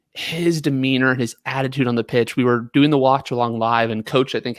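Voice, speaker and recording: A man's voice: mid-range voice, no room sound, studio quality. A man with a Midwestern American accent on a casual sports talk show, exasperated and trailing off mid-sentence. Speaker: co-host.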